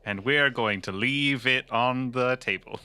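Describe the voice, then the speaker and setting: A man's voice: medium pitch, a little slow, pushed and bright. A man playing a wry, cavalier cyberpunk mercenary in a tabletop game, glib and understated in his delivery. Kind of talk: improvised tabletop role-play.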